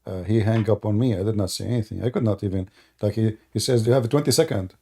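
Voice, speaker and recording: A man's voice: lowish voice, fast, mellow. A man hosting a live call-in stream, talking emphatically in non-native grammar and laughing as he mocks opponents. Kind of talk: casual live call-in chat.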